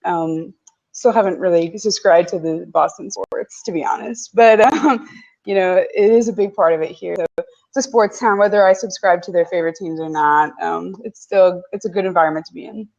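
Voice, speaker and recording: A woman's voice: on the low side, moderate pace, vocal fry, muffled recording. A young woman from Canada whose first language is French, a guest analyst talking football in a casual interview. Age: young adult. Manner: analytical, lively football talk.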